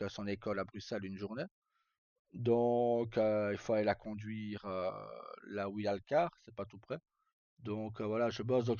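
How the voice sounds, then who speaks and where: A man's voice: fairly low pitch, darkish tone, dry recording. A middle-aged man talks casually on a livestream in an informal, rambling and hesitant way. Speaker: live streamer. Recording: dull, lo-fi sound.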